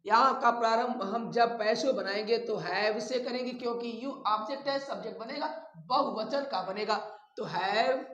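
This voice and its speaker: A man's voice: very high voice, moderate pace, bright, forceful tone, lo-fi sound. A man teaching a grammar lesson in a didactic, repetitive way, addressing his listeners as friends. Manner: didactic, repeating key terms and addressing viewers as friends. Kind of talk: classroom-style grammar lesson. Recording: echoey room sound.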